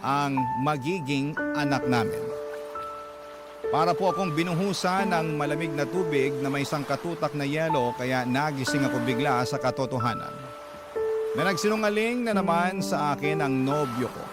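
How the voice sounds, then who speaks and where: A man's voice: a little high, normal speed. A man narrating a scripted first-person story as a radio storyteller reading a letter. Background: prominent music.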